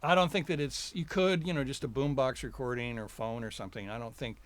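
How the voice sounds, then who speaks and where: Relaxed, chuckling man guesting in a studio. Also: medium pitch, brisk. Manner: relaxed, chuckling, rambling with fillers. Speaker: guest, recording engineer. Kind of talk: casual interview chat. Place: recording studio.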